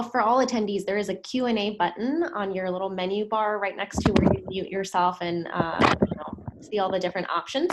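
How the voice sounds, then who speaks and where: A woman's voice: on the low side, poor audio. A woman hosting an online webinar, politely and informally relaying questions in a conversational way. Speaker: webinar host and moderator.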